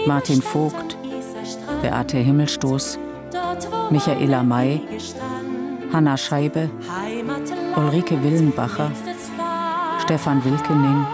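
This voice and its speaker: Woman announcer w really deep voice, slow formal credits read for radio play, loud music behind. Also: steady tone. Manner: formal, list-like delivery. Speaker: announcer reading title and credits. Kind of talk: scripted radio drama announcement.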